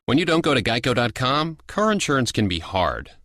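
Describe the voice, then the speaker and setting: A man's voice: mid-range voice, very animated, fairly quick. A man reading scripted narration as a radio commercial announcer. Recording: music bed under the voice.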